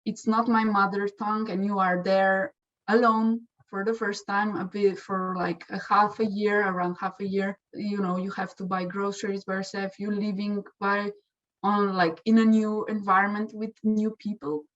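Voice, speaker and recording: Young woman with hesitant, halting speech. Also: mid-range voice, level tone, a bit bright, dull audio. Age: young adult. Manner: hesitant, many restarts and fillers. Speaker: exchange student. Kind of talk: casual spoken testimonial.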